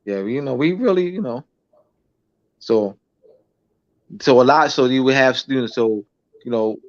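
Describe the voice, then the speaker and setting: A man, a schoolteacher, talking in a casual interview, halting with many restarts and fillers. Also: mid-range voice, lively intonation. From United States. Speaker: schoolteacher guest. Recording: dull lo-fi recording.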